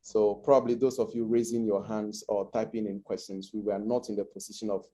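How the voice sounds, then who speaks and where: A middle-aged man, slightly hoarse from a cold, presenting an online information session with many hesitant pauses. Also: on the low side, flat delivery, mellow. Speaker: guest presenter.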